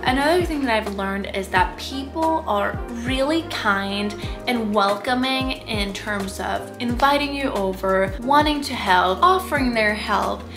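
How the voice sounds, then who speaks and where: A woman's voice: fairly high pitch, measured pace. Chatty young woman vlogging. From Sweden. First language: Swedish. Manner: chatty and candid, lots of "like". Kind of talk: casual talk to camera. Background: background music.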